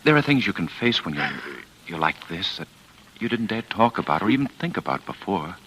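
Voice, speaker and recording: Casting: condemned husband, gentle and fatalistic, very low voice with lively swings, vintage radio drama. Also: male voice, a little fast, breathy edge, full character voice. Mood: tender, regretful. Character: resigned, tender condemned husband, older than his wife. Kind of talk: 1940s radio drama acting. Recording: noisy vintage radio recording.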